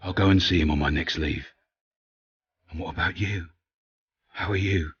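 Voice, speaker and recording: A man playing a tender, grieving soldier reading out his letter in a video game, with a husky voice. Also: deep voice, moderate pace, a bit bright, full character voice. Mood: tender, sorrowful. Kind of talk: letter read as game voice-over. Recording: dull, muffled sound.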